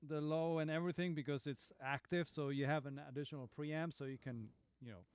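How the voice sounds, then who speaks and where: A man, a bass player co-hosting a studio livestream, talking in an easygoing way with frequent pauses and restarts. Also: fairly even intonation, smooth, dry recording. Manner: halting, many ums and restarts, easygoing. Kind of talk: casual livestream chat. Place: recording studio.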